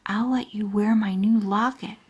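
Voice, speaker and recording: A woman's voice: medium pitch, steady tone, normal speed, a little mellow, slightly rough, highs cut off, close to an everyday voice. A woman voicing a pushy, boastful little schoolgirl who wheedles for favors, in a children's storybook reading. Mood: secretive whisper.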